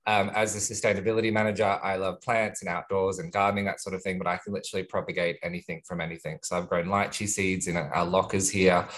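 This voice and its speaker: A man with a softened Australian accent, introducing himself on a webinar in an upbeat, chatty way. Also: low voice, level tone, quick pace, few pauses. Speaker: sustainability manager at a nursery group. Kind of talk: friendly self-introduction.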